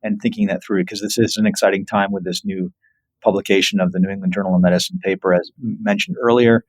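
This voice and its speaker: A man speaking as a physician researcher in an interview, earnest and emphatic while explaining findings in measured phrases. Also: sits low, steady tone, no echo, rough recording. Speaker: physician researcher, podcast guest.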